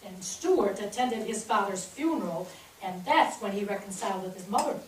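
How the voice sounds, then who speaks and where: A woman playing a brisk, motherly middle-aged daughter-in-law managing the household in a staged play reading. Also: mid-range voice, animated, close to an everyday voice. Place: theatre stage, recorded from afar. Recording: distant noisy microphone in echoey theatre.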